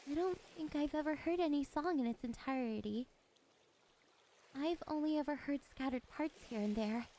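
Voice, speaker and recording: Girl doing a soft weak-voiced siren for asmr roleplay, high n mellow, gentle but predatory. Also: normal speed, slight background noise. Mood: fascinated, tender. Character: soft-voiced female siren, lonely, possessive, predatory, curious.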